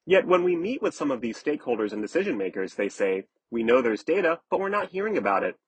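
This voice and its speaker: A man's voice: medium pitch, very animated, quick pace, breathy edge, dry recording. A man narrating a news article from a script as a reporter.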